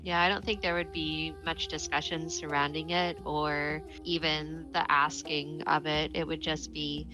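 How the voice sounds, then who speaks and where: A woman's voice: deep voice, little pitch movement, unhurried, few pauses, poor audio. A woman talking casually as a player in a tabletop role-playing game. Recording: music under the voice.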